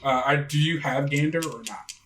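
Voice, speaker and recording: A man's voice: medium pitch, normal speed, a bit bright, a little hiss or hum. A man narrating a tabletop game as game master, with dramatic storytelling and casual asides. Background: faint music.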